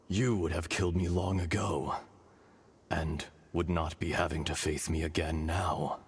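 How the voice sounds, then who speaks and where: Game casting: a dying ancient dragon spirit, very deep, slow and grave, loud score behind. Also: male voice, level tone, breathy edge, heavily acted. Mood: solemn. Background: loud orchestral music.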